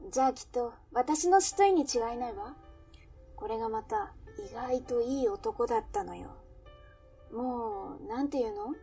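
A woman plays a self-assured, romance-loving café regular in a radio drama. Her voice is high but mellow, with a breezy, curious manner. She sounds slightly off the microphone. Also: lively intonation, moderate pace, vocal fry, distant mic, faint music behind. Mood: chatty, breezy. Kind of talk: Japanese radio drama acting.

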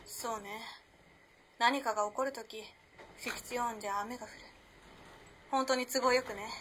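A woman's voice: on the high side, steady tone, moderate pace, a bit bright, slight background noise, lightly acted. An actress playing a poised, refined young modern woman of the early 1900s in a radio drama, composed and knowing. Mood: narrating gravely. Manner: composed, elegant, old-fashioned feminine speech. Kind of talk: radio drama acting, storytelling.

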